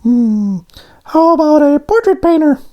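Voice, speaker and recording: A man voicing a small, eager male talking doughnut in a storybook, with a squeaky, childlike falsetto. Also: lively intonation, unhurried, lo-fi sound. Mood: eager, upbeat.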